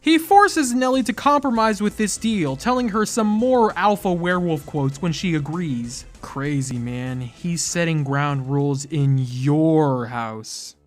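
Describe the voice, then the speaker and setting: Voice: mid-range voice, unhurried, few pauses, shouting, very noisy. A YouTube host giving scripted comedic commentary in an exasperated, sarcastic manner that breaks into mock outrage.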